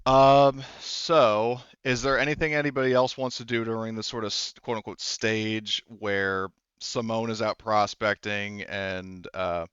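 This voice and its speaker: A man's voice: lowish voice, steady tone, unhurried, few pauses, a bit bright, smooth, no echo, dull audio. A man running a tabletop game in casual talk, rambling and hesitant as he corrects himself. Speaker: game master.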